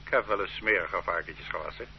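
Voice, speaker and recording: An actor playing a stern state interrogator who bullies and threatens a suspect in a radio play, in a dull old recording with faint hum. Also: male voice, low-pitched, brisk. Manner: bullying, condescending. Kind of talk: radio drama acting.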